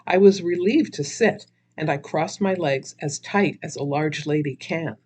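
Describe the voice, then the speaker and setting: A woman's voice: sits low, a little slow, darkish tone, husky. A woman author narrating her own humorous story dramatically, with theatrical expression.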